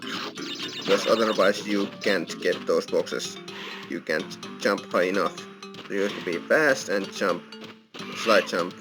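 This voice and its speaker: A man giving casual, explanatory let's play commentary as a gaming host, with non-native phrasing. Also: fairly low pitch. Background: video game music.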